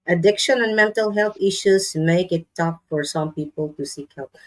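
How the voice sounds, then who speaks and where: A woman with a Filipino accent, chatting as a guest in a live-stream interview, laughing often and pausing to search for words. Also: lowish voice, a little mellow, low-quality recording. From Philippines.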